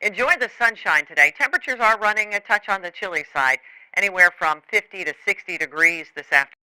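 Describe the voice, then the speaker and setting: A man's voice: high-pitched, animated, fast talker, sharp tone, smooth, poor audio. A man presents a scripted weather forecast in an upbeat broadcast style.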